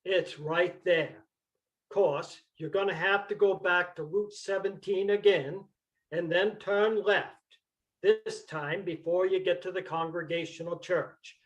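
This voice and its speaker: A man playing a terse, deadpan old farmer from Vermont in an amateur staged play reading. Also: high-pitched, measured pace, highs cut off.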